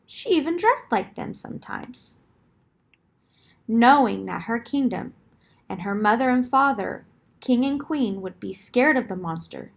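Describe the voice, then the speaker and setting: Female storybook narrator, fairly high pitch, husky edge, deliberate and expressive delivery for children.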